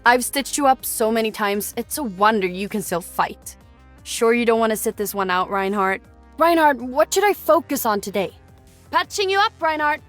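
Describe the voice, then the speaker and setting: A woman's voice: on the high side, lively intonation, moderate pace, close to an everyday voice. A woman playing an enthusiastic, humble, slightly sassy young squire-engineer in a video game, with a Swedish accent. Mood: caring. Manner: spirited, cheeky. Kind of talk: video game hero voice lines. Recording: game voice clips over faint music.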